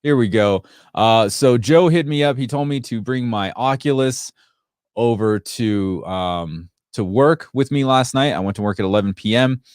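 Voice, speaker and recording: A man's voice: mid-range voice, moderate pace, a little mellow, smooth, no room sound, studio quality. A man born in Sri Lanka, hosting a casual tech livestream and reading viewer comments, rambling with lots of fillers. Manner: chatty, rambling, many fillers and restarts.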